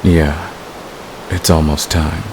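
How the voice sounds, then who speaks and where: A man playing a smug, seductive fantasy villain who is secretly in love, in an intimate, teasing and unhurried audio roleplay. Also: very deep voice, little pitch movement, background noise, exaggerated voice. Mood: calm, reassuring. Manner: teasing, unhurried, murmuring to a lover. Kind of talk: romantic audio roleplay, intimate ASMR acting.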